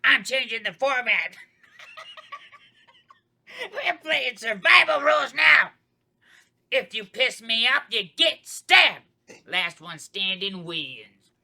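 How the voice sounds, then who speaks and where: A man's voice: very high voice, lively intonation, takes it slowly, creaky, long pauses, full character voice. A man doing a foul-mouthed killer clown. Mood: menacing. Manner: crude, swaggering, erupts into shouting. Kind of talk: table read of a horror comedy.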